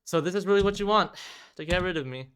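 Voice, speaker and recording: A man's voice: high-pitched, quick pace, fairly bright tone, slight background noise, voice pushed up. A man voicing a menacing, obsessive young woman in a visual novel, cooing with mocking, theatrical sweetness. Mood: sweetly obsessive.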